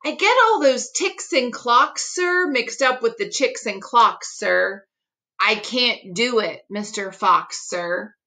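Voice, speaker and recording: Flustered, bright-voiced storybook creature played by a woman. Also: on the high side, animated, slow, exaggerated voice. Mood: pleading. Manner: pleading, tongue-tied protesting. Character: flustered, complaining male storybook creature, polite but weary. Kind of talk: children's picture book read-aloud.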